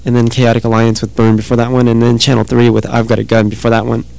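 A man hosting a radio music show, chatting casually and rambling a little, his voice going hoarse. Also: mid-range voice, monotone, fast talker, darkish tone. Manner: rambling, easygoing. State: losing his voice.

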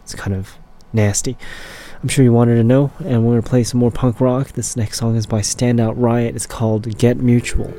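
A man working as a radio DJ, casually back-announcing songs with a raspy throat and an offhand, list-reading delivery. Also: medium pitch, steady tone, moderate pace, mellow, slight background noise.

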